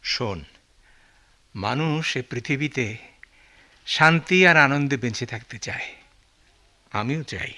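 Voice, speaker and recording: Sick middle-aged dad in a radio play, loving, philosophical, sharp forceful voice. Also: male voice, medium pitch, slow pace, pauses often, full character voice. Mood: solemn, philosophical. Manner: earnest, weighty, reflective delivery. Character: ailing middle-aged father, loving, hiding grave illness, philosophical. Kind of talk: radio drama acting.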